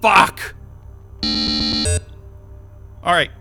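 An actor playing a flustered, cynical jobless tech worker in an audio drama, blurting and halting as he is questioned. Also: pushed and bright, faint music behind. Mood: exasperated, defensive. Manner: exasperated, sweary, blurting and halting. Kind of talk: naturalistic audio drama acting.

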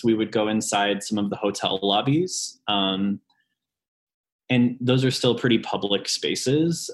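A man in his late twenties who works as a ghost tour guide, chatting casually in an interview, with lots of ums and sudden lively jumps in pitch. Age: late 20s.

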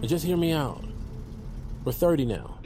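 Highish-voiced anxious American guy, thirty. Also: big pitch swings, a little slow, over music. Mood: earnest. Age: 30s. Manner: nervous, rambling, apologetic. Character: anxious, restless thirty-year-old slacker, earnest and apologetic. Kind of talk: naturalistic audio fiction dialogue.

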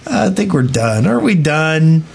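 A man's voice: fairly high pitch, big pitch swings, unhurried, creaky, a little mellow, slightly rough. A man co-hosting a podcast in casual banter. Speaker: podcast co-host.

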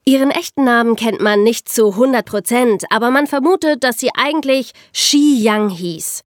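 A woman's voice: a little high, moderate pace, no echo, clean studio sound. A woman co-hosting a podcast, telling history in an explanatory way for young listeners. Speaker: podcast co-host and narrator.

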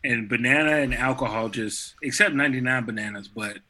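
A man's voice: mid-range voice, a little fast, bright, forceful tone. A man in his thirties hosting a casual chat, teasing his guests and laughing easily. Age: 30s.

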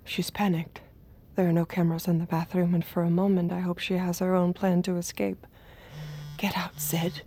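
A woman playing a conflicted, obedient android girlfriend in an audio drama, narrating intimately in a hushed voice that often slips to a whisper. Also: lowish voice, flat delivery, normal speed, a little hiss or hum, lightly acted. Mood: anxious, regretful. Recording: music behind the voice.

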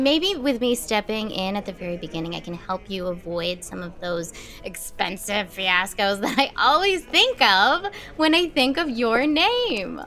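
A woman playing a self-absorbed diva actress in a comedic audio drama, breezy, theatrical and condescending. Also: medium pitch. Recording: loud music bed under the voice.

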